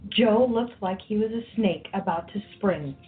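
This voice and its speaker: A woman's voice: medium pitch, a little slow. A woman narrating a scripted radio play, reading the scene and action as a story. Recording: dull lo-fi, music behind.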